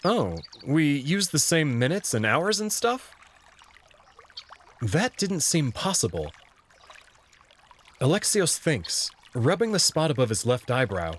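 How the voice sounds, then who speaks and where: A man's voice: fairly high pitch, big pitch swings, slow, pauses often, a little hiss or hum. A man reading a visual novel aloud in first person, moving between narration and dialogue. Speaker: narrator and player reader.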